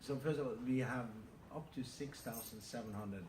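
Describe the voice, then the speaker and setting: A Norwegian man in his fifties answers in a measured, factual way, often pausing on "uh". He sits away from the mic in a roomy, echoing space. Steady noise hums behind him. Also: medium pitch. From Norway. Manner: measured, factual, with many "uh" pauses. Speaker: cruise ship captain. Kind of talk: interview answers. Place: aboard a large cruise ship. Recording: roomy, distant, noisy ambience.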